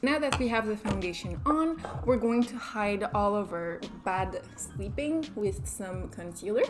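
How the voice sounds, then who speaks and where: A young French Canadian woman talks through her makeup routine in an easygoing, self-deprecating way. Her French-accented English sits at a middle pitch, and soft music plays faintly underneath. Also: animated, a little slow. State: just woke up. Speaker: beauty vlogger. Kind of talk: casual makeup tutorial.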